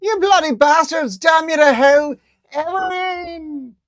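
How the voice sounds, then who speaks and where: Man playing a shrill ferret. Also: sing-song, normal speed, dry recording, studio quality, full character voice. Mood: grieving fury. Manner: brash, loud, slangy, swears. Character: foul-mouthed female talking ferret, fiercely loyal.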